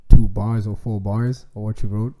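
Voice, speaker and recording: A man's voice: fairly low pitch, little pitch movement, moderate pace, mellow. A young man hosting a casual interview, easygoing and slangy, with short affirmations. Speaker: host. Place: living room with mirrored wall decor. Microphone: studio mic on boom arm.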